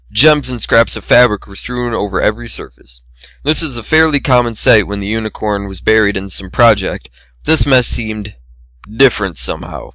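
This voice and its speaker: A man's voice: on the low side, sharp tone, dry recording, low-quality recording. A man narrating a story as an amateur dramatic reading of fan fiction.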